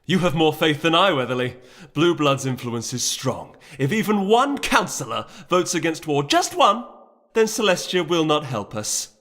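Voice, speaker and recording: Man voicing a proud, commanding pony duchess, pitched up. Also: very animated, normal speed, few pauses, a bit bright. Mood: frustrated, bitter. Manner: formal, imperious, emphatic. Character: resolute, commanding noble mare duchess, grave and proud. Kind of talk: dramatic audiobook character voice.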